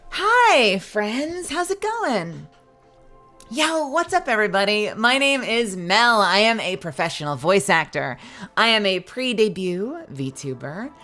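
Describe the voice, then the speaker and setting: Bright, scratchy-voiced woman streamer. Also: mid-range voice. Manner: playful and chatty, greets chat warmly. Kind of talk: casual live-stream chat.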